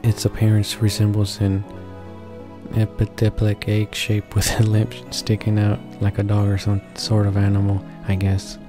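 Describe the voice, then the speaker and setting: A man doing a casual read-along of a visual novel, reading haltingly, stumbling over words and correcting himself, with asides of his own. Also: lowish voice, monotone, music in the background. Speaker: gaming video creator reading a visual novel aloud.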